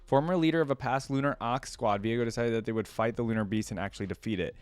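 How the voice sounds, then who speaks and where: A man's voice: mid-range voice, fast, clear, resonant tone, no echo. A man giving casual reaction commentary as a video host, rambling and thinking aloud.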